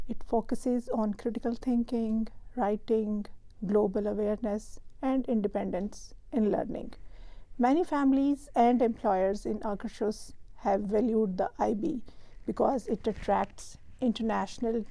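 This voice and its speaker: A woman host from Pakistan, reading a prepared explainer in a measured, even way. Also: a little high, creaky, no echo, low-quality recording. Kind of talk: scripted explainer narration.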